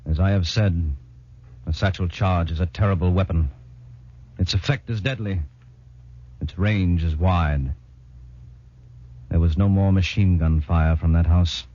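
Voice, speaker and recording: An actor playing a sombre commando veteran, narrating in a grave, clipped, declamatory 1940s radio style. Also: male voice, low-pitched, a little slow, pauses often, dark tone. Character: sombre commando veteran recounting a deadly raid. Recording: muffled, very noisy 1940s radio recording.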